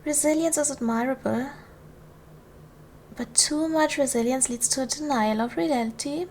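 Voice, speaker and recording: High-voiced gracious duchess, played by a woman, soft ASMR. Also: slight background noise. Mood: gentle, reassuring. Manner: formal, measured, soothing. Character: gracious, commanding noble duchess, protective of her servant. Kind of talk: ASMR roleplay, soft formal period speech.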